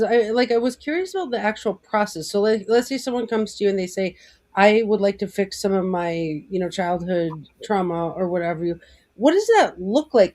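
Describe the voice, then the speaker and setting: A woman's voice: mid-range voice, darkish tone. A woman hosting a casual online talk, thinking aloud in a rambling way with many restarts. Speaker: host. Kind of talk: casual chat.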